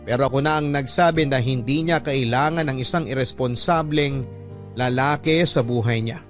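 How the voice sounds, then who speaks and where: A man's voice: on the high side, natural voice. A man narrating a sentimental radio love story as a devoted, protective younger sibling, earnest and reflective. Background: music under the voice.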